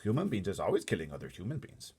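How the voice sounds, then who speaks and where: A man voicing a big, gentle, earnest storybook giant who speaks emphatically in muddled grammar and made-up words. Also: low voice, huge pitch swings, fast, creaky, husky, exaggerated voice. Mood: earnest, indignant. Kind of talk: storybook character voice.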